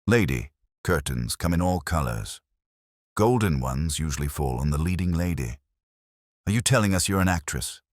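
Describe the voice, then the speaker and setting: A man's voice: extremely low pitch, animated, moderate pace, no room sound, clean studio sound. A man voicing a cocky, wisecracking detective's assistant in an audiobook, flippant and sardonic. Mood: wry. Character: wisecracking male private eye's assistant, cocky and breezy.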